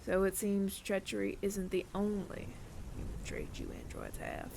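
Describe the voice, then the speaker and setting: A man playing a weary older android bounty hunter with reluctant mercy in an intimate audio roleplay, breathy and often near-whispered, deliberate and wry. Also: high-pitched, huge pitch swings, noisy background, exaggerated voice. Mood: quiet, reflective.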